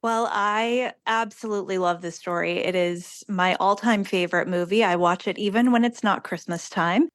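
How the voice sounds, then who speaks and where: A woman's voice: mid-range voice, normal speed, hardly any pauses, no echo. A woman speaking as a director and interview guest, earnest and effusive in a conversational style.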